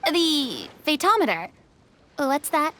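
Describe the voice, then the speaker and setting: A woman's voice: high voice, sing-song, slow, fairly bright tone, full character voice. A woman playing a timid, curious young woman in an anime game, hesitant and earnest as a newcomer. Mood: shy wonder. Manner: hesitant, wondering, sweetly earnest.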